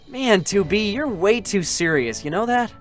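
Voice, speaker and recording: An eager, boyish android scout, light and youthful for a male voice. He talks earnestly and openly, with a hesitant, emotional streak, in a dramatic game-story reading over music. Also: sits high. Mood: playful.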